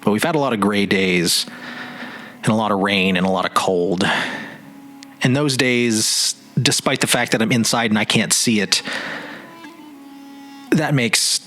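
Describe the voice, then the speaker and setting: Bright-voiced man hosting over music. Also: fairly low pitch, unhurried, long pauses. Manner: reflective, rambling, searching for words. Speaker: podcast host. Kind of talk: casual solo podcast monologue. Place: home office indoors.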